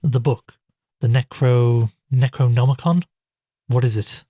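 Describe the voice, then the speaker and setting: A man playing a sceptical, weary investigator in an audio drama, measured and questioning as he talks and narrates. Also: mid-range voice, fairly even intonation, dark tone, no echo, muffled recording, natural voice. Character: sceptical, weary male investigator recounting strange events.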